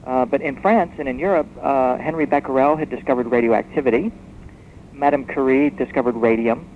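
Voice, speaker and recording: A man speaking as an expert guest in a radio interview, explaining history as a story in a measured, discursive way. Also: mid-range voice. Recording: muffled noisy shortwave radio tape.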